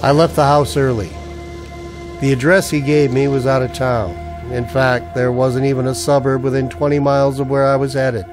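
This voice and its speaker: A man acting a hard-boiled but ordinary guy in a radio play, sardonic and wary. His voice sits at a middle pitch and talks colloquially, like a down-on-his-luck veteran telling his story. Music runs behind him. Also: little pitch movement, moderate pace, lightly acted. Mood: wry, uneasy. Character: broke, wary army-veteran husband narrating his noir misadventure. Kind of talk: old-time radio drama acting and first-person narration.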